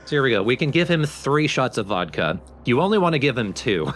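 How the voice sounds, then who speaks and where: A man's voice: medium pitch, very animated, fairly quick, fairly bright tone. Man explaining a game over music. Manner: explanatory, informal. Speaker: let's play host and player. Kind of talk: casual gameplay commentary.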